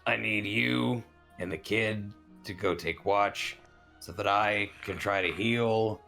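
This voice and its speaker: A man doing a gruff, weary adventurer. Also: fairly low pitch, fairly even intonation, slow pace, bright, forceful tone, faint music behind, exaggerated voice.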